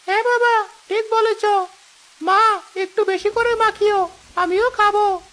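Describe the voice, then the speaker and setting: A woman's voice: extremely high pitch, steady tone, slow pace, fairly bright tone, heavily acted, low-quality recording. A woman voicing a cheeky, playful young village boy in a cartoon story. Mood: cheeky, amused.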